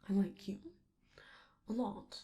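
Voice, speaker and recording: A woman voicing a flamboyant, affectionate robot entertainer in an intimate ASMR roleplay, softly and hesitantly, slipping toward whispers with theatrical endearments. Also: takes it slowly, natural voice. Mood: tender, earnest.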